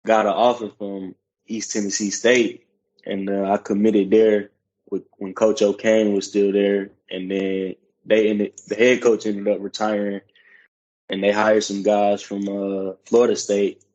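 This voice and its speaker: Low flat laid-back young man. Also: a little slow, muffled recording. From South Carolina. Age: young adult. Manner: laid-back, matter-of-fact storytelling. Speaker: guest, college football player. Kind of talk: casual interview.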